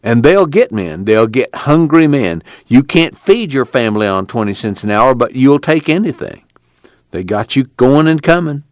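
A man voicing a bitter, weary male migrant farmhand with a rough, folksy rural drawl. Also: mid-range voice, big pitch swings, normal speed, dry recording, clean studio sound, voice pushed up, close to an everyday voice.

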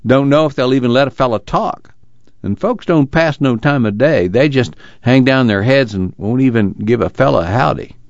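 A man's voice: mid-range voice, moderate pace, dry recording, highs cut off, voice pushed up, natural voice. A man voicing a blunt, skeptical young farm laborer in an audiobook. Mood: glum. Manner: drawling, ungrammatical rural speech.

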